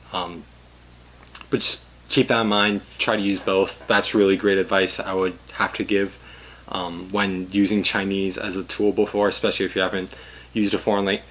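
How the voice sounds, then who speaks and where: A man's voice: on the low side, a bit bright, low-quality recording. A teenage man from the United States, a former exchange student, gives advice in a loose, conversational way with many "um" fillers. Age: teens. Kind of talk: casual advice monologue.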